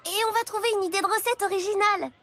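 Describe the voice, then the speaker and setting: Brief: keen little schoolgirl, squeaky high voice, quick and hopeful. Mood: eager. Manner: bright, quick, hesitant when pressed. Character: eager, hopeful young schoolgirl. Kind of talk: cartoon dub.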